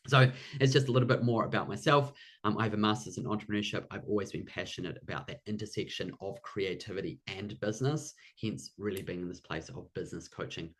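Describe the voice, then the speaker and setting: A man's voice: fairly low pitch, fast, fairly bright tone. A man with a New Zealand accent hosting a live online workshop as a business coach in an encouraging way.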